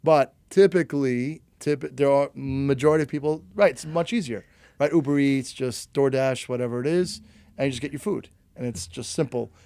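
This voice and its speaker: A man's voice: medium pitch, warm tone, no echo. Male podcast host, casual, stop-start phrasing, dry close sound. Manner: halting, restarts his questions. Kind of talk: casual interview chat.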